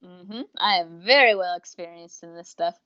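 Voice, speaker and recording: Female podcast co-host, slightly low, irreverent and casual, dry lo-fi voice-call sound. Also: normal speed, sharp tone, no room sound. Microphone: online voice call. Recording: dull, lo-fi voice-chat line.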